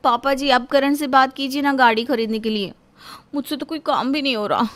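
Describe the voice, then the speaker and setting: Woman acting a whiny, high-voiced young housewife. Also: a little fast, fairly bright tone. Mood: tearful whining. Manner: whiny, dramatic, insistent. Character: petulant, boastful young housewife, whining and nagging.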